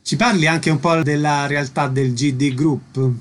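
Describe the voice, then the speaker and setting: A man's voice: on the high side, steady tone, measured pace. A man hosting a radio interview, asking brief, direct questions. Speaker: radio host.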